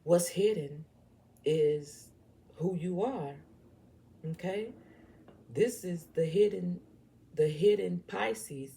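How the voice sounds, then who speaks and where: A woman with a Southern US accent leads an informal tarot reading in a low voice. She takes her time, repeating points with blunt emphasis and addressing the viewer directly. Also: slow, vocal fry, pauses often, dark tone. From southern United States. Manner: emphatic, repetitive, blunt, checks in with "okay?". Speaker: tarot reader.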